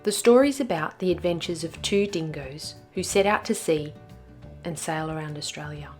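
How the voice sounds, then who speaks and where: A woman's voice: deep voice, moderate pace, soft background music. A woman storyteller reading a rhyming children's picture book aloud with expression.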